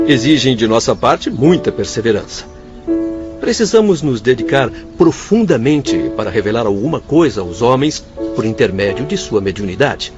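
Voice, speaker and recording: A man's voice: mid-range voice, lo-fi sound, natural voice. A man acting a solemn, kindly doctor spirit in a radio drama, speaking formally and eloquently. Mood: grave, disappointed. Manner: formal, earnest, eloquent phrasing.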